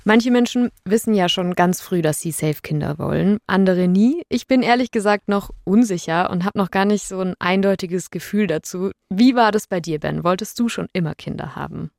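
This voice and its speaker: A young woman hosting a casual podcast chat, chatty and relaxed, mixing English words into her speech. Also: medium pitch, normal speed, gravelly, hardly any pauses, no echo, studio quality. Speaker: podcast host. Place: studio.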